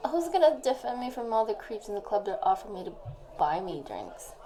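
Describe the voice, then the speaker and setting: A young woman playing a tipsy, needy, lovesick best friend in an intimate audio roleplay, rambling and halting as if leaving a voicemail. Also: mid-range voice, big pitch swings, warm tone. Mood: pleading, whiny. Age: young adult. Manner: drawn-out, rambling, sighing, halting. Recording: faint background noise.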